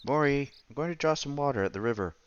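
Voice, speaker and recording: A man reading a visual novel aloud as a streamer, with playful, casual asides and quiet game music behind him. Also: mid-range voice, expressive, fast talker, a little hiss or hum. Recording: slightly dull, faint game music behind.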